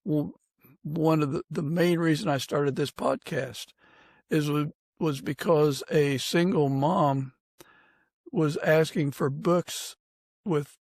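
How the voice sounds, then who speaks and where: Male podcast host, slightly high pitch, unhurried measured pace, creaky edge, conversational interviewing, dry recording. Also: a little mellow, no echo. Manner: deliberate, measured phrasing.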